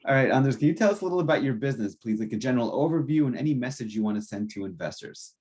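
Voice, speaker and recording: A man hosts an interview show, welcoming his guest and asking questions. His voice sits at an average pitch, and he meets the answers with enthusiastic, encouraging remarks. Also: lively intonation, racing, a little mellow, slight background noise. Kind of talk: interview hosting.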